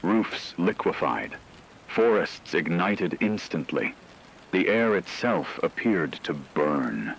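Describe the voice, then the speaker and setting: A man playing a grave radio news anchor, delivering a scripted catastrophe bulletin in a solemn, measured, dramatic way over steady hiss. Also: sits low, vocal fry, full character voice, poor audio. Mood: grave, urgent.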